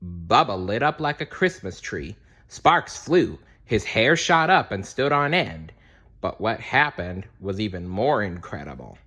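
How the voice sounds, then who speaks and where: A man's voice: on the low side, very animated, unhurried, pushed and bright. A man narrating a children's storybook aloud in a dramatic way, with little asides to young listeners. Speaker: storybook reader.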